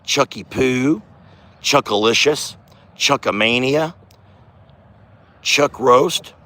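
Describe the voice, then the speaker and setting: A middle-aged man who has lost his voice and talks hoarsely. He chats in a folksy way while filming on his farm, speaking softly to his bull. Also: mid-range voice, slow. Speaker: farm vlogger, channel host. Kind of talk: casual outdoor vlog. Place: outdoors on a farm by a bull pen. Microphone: handheld phone camera.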